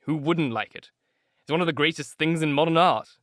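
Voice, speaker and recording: A man reading aloud, voicing a witty, languid aristocratic gentleman with an airy, amused manner. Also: on the high side, brisk, dry recording, clean studio sound, lightly acted.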